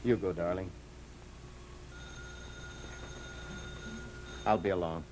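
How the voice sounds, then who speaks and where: Guy acting a guilt-ridden husband in an old radio play, lowish voice, earnest and melodramatic, bit of background hiss. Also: fairly even intonation, fast, close to an everyday voice. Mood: evasive, subdued. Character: troubled, lovesick gambling husband, weary and guilt-ridden. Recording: slightly noisy old radio recording.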